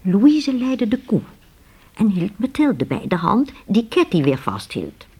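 A woman with a husky voice narrating a radio play as a dramatic, scripted storyteller. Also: mid-range voice, wildly swooping pitch, a little slow, dark tone.

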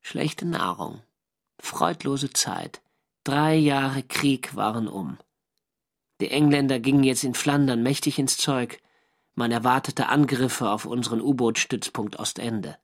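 A weary navy boat commander reading out his war diary, mid-pitched, dry and literary, radio play. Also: unhurried, gravelly. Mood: downcast. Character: German navy minesweeper skipper narrating his wartime diary, weary. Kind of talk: scripted diary narration in a radio drama.

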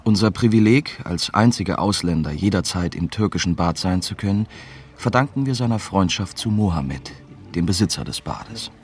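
Wry young journalist, first-person narration, very low voice, matter-of-fact, radio drama. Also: male voice, animated, moderate pace. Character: young, wry male German newspaper reporter recounting his story.

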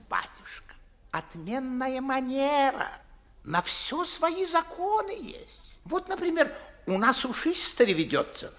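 An older man playing a fawning, garrulous Moscow gentleman in a 1950s radio verse comedy, with effusive theatrical declamation. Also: very high-pitched, heavily acted.

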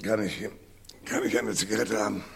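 An actor playing a battered, wisecracking private detective in a noir radio crime drama, laconic, dry and sardonic. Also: male voice, on the low side, moderate pace, creaky, husky, exaggerated voice. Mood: weary, sardonic. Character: hard-boiled, battered, wisecracking male private detective narrator. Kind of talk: noir radio crime drama, first-person narration and dialogue.

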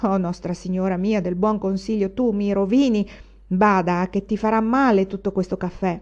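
A woman's voice: medium pitch, steady tone, normal speed, darkish tone, natural voice. A woman voicing a superstitious, talkative old female servant in an audiobook. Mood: fretting. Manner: fussy, chattering storyteller. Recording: dull lo-fi recording.

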